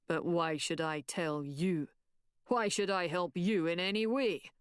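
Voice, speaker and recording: A stern, imperious warrior chief with a lowered voice, as a female narrator puts him on, handing down each word slowly and heavily. The sound is dry and close, with no room around it. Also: clean tone, no room sound, natural voice. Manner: slow, imperious pronouncements. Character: stern, commanding bearded male warrior chief.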